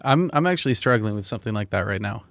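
A man's voice: lowish voice, lively intonation, quick pace, fairly bright tone, dry recording. A man hosting a podcast, chatting casually and personally, a little hesitant as he thinks aloud. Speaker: podcast host. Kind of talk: casual, personal interview chat.

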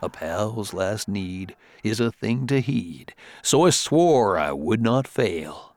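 A man's voice: fairly low pitch, expressive, slow pace, a little mellow, a little hiss or hum. A man narrating rhyming horror verse in a theatrical storytelling cadence. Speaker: poem narrator.

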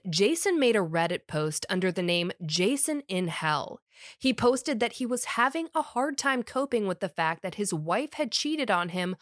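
A woman's voice: lowish voice, no room sound, studio quality. A woman podcast host with a summer cold, reading a scripted true crime story in a wry, conversational way.

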